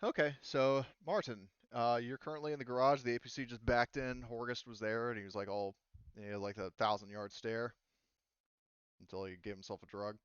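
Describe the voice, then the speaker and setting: A man's voice: mid-range voice, fairly even intonation, brisk, clean tone, dry recording, lo-fi sound. A man speaking casually as game master of a tabletop game, explaining options in a conciliatory way.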